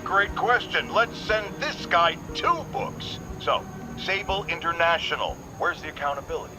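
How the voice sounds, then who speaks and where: Over prominent music comes a man acting as a self-righteous talk radio host, fairly high in pitch. He is pompous and indignant, ranting paranoid opinions with emphatic bluster, in the style of a game's in-world broadcast. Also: expressive, moderate pace, close to an everyday voice. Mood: brisk bluster.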